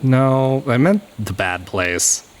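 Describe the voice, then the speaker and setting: A man's voice: medium pitch, expressive, takes it slowly, smooth. A man playing a blunt, wisecracking rogue with a casual, offhand manner in a fantasy audio drama. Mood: casual, curious.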